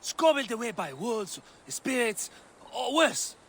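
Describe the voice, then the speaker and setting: High-pitched jittery, cowardly workman. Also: big pitch swings, takes it slowly, a bit bright, slight background noise, full character voice. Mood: nervous rambling. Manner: nervous, stammering, rambling. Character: jittery, cowardly, rambling male construction worker. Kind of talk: comic video game cutscene dialogue.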